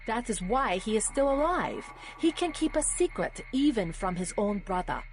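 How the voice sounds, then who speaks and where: A woman playing a sly, poised Hong Kong politician in a video game, with a measured, persuasive delivery. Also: a little high, very animated, faint music behind.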